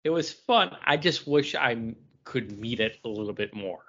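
A man's voice: medium pitch, animated, normal speed, highs cut off, noisy background. A man co-hosting a podcast, chatting casually about comic books in a joking, self-deprecating way. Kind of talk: casual comic book review chat.

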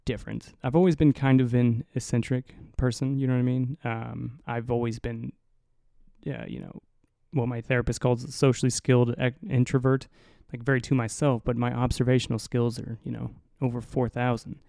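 A young man hosting a solo podcast in a low, mumbly voice, rambling and swearing casually, right up on the mic. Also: little pitch movement, normal speed, creaky, dark tone, dry recording, clean studio sound. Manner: rambling, profane, self-mocking. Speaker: podcast host. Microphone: close to the mic.

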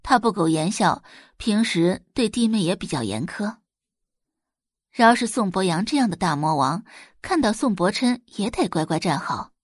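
Expressive woman narrating an audio drama. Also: medium pitch, moderate pace, no room sound, clean studio sound. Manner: expressive storytelling.

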